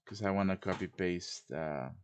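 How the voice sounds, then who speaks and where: A low male voice walks through a software task step by step in an informal way. He thinks aloud as he works, like a streamer giving a casual tutorial. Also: normal speed, clear, resonant tone. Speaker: live stream host teaching quest making. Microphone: computer microphone on a live stream voice chat.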